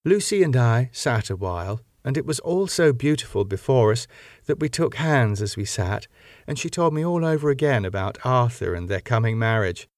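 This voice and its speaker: A man narrating a classic novel as an audiobook in a measured, expressive, dramatic way. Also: mid-range voice, no room sound, clean studio sound.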